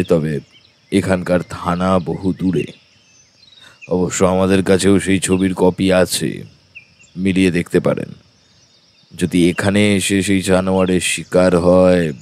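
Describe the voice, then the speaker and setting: An actor voices a grave forest officer in his fifties for an audio story. His voice is very low and he talks slowly, in deliberate, official phrases. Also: a little mellow. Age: middle-aged. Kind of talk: audio story dramatized dialogue.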